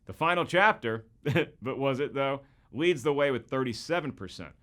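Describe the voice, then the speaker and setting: Animated man hosting comedic commentary. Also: mid-range voice, moderate pace. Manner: wisecracking, mock-congratulatory, rhetorical questions. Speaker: host and comedian. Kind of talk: scripted comedic video essay commentary.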